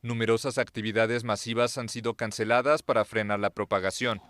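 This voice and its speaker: A man reading a news report in a steady, formal manner. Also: lowish voice, a little fast. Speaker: news reader.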